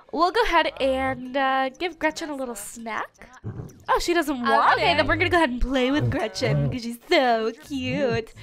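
Bubbly high-voiced woman, stuffed-up nose. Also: bright, forceful tone. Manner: bubbly, chatty, giggly asides. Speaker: let's play host. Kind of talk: casual gameplay commentary.

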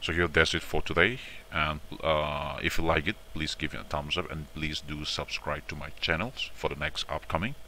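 A man presenting an informal step-by-step software tutorial in a repetitive, instructional way, often checking in with short tags. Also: rumbling low, little pitch movement, moderate pace, hardly any pauses, a little hiss or hum.

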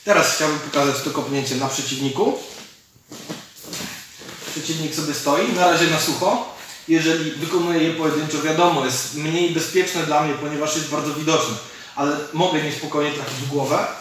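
A male martial arts coach in his thirties explains techniques in a slightly high voice. He is recorded at a distance in a very echoey gym, with faint noise behind him. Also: fairly even intonation, normal speed. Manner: explains while demonstrating moves. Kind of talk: instructional technique demonstration. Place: large indoor gym with fighting cage. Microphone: camera mic from several metres away. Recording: distant mic, very echoey hall, noisy.

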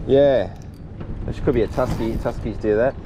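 A middle-aged man with an Australian accent, guiding a fishing trip and calmly coaching his guests. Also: medium pitch, normal speed, a loud crowd. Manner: encouraging, instructive, laid-back. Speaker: fishing charter guide. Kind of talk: casual on-water coaching. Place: small boat on open water outdoors. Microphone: action camera on the boat. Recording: boat and wind noise outdoors.

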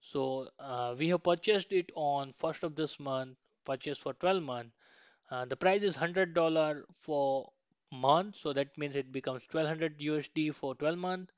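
A man presents an instructional slide tutorial in a fairly high, smooth voice. He explains point by point and often adds little checking tags. The sound is close and dry, though slightly dull. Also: measured pace, no room sound. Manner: instructional, frequent checking tags like "right?" and "okay".

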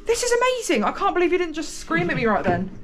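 A young British woman hosting an exploring vlog inside an abandoned house, chatting excitedly and exclaiming often. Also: very high-pitched, fast. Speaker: urban explorer host. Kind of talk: casual exploring vlog chat.

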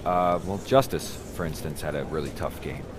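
A man's voice: a bass voice, normal speed. A man playing a pressing sports reporter at a press conference in a video game. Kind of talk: naturalistic video game cutscene acting. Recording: noisy ambient press room.

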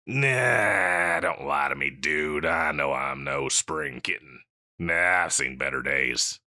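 A man playing a scruffy, insecure slacker catboy pet in a comedic audio roleplay, with a halting, mumbly dude drawl. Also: extremely low pitch, sharp tone, dry recording, clean studio sound, full character voice. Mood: sheepish, insecure.

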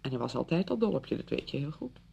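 Radio play role: betrayed wife, defensive and bitter, raspy voice with wide pitch swings. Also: female voice, sing-song, fast talker, mellow, exaggerated voice. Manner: agitated, bitter. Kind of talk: radio drama acting.

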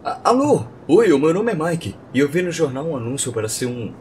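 A man's voice: fairly high pitch, very animated, fairly quick, mellow. A man with a Brazilian accent playing an earnest, uneasy father looking for guard work in a fan-dubbed film. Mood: nervous, hopeful. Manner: hesitant, earnest. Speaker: voice actor in a fan dub. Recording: clear ambient noise behind.

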